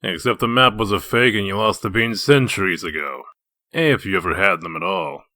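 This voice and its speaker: Casting: male actor as hard-boiled wolf detective, very low, forceful edge, dry delivery for comic drama. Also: moderate pace, no room sound. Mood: dry, probing. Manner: needling, dry, interrogating. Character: gruff, sardonic male wolf detective in human form. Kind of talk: comic dub dramatic acting.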